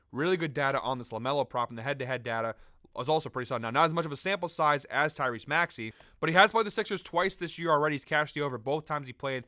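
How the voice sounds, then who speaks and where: Fast-talking, hoarse young sports bettor. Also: male voice, mid-range voice, sharp tone, dry recording, clean studio sound. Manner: brisk, number-heavy delivery with fillers and self-corrections. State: sick and losing his voice. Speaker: sports betting host. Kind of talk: fast spoken sports betting breakdown.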